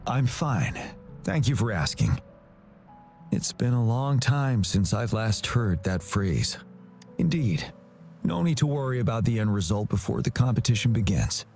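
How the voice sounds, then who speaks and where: Composed, courteous general, expressive delivery. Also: male voice, medium pitch, creaky, soft background music. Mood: calm, polite. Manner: composed, formal, measured. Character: calm, courteous young general, steady and reassuring. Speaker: military general. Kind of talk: video game voice acting.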